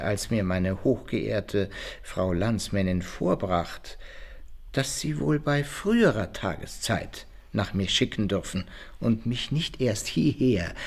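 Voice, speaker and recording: An actor playing a proud, wary adventurer in a radio play, with measured, ceremonious, old-fashioned speech. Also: male voice, lowish voice, full character voice. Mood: wary, indignant. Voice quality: gravelly, husky. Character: proud, wary male adventurer recounting his exploits. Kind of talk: radio play acting and first-person narration in archaic German.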